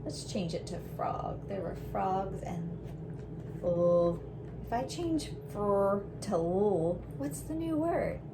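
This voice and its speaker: A woman's voice: medium pitch, noisy background. A woman teaching rhyming to young children, sounding things out carefully and prompting with questions. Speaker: children's read-aloud teacher.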